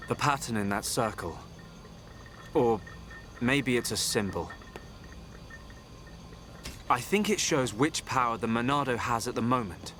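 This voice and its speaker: A man's voice: medium pitch, a little slow, pauses often, fairly bright tone, a little hiss or hum, lightly acted. A man with an English accent playing an earnest, thoughtful young inventor in a video game. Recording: orchestral music behind the voice.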